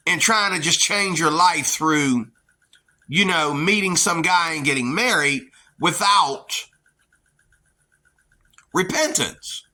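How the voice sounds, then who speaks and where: American guy ranting to camera like a preacher, blunt and scolding, real forceful edgy voice. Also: high-pitched. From United States. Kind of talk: heated opinion monologue.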